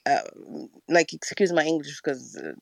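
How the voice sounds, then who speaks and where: A woman from Egypt, calling in to share a personal testimony, halting and full of fillers. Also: deep voice, very animated, vocal fry, darkish tone, dry recording. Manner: halting, many fillers and restarts. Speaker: caller. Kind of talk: personal testimony in a call-in chat.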